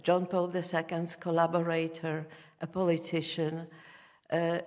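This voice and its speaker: A woman moderating a conference, introducing speakers hesitantly with many filled pauses. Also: lowish voice, a little mellow, in a large hall. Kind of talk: formal introduction.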